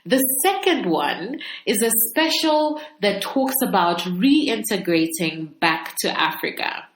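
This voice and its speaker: Female podcast host, slow-paced, mid-pitched, expressive solo monologue straight to the listeners, recorded in an echoey room. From Zambia. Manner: conversational, expressive, laughs often. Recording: echoey room, faint background noise.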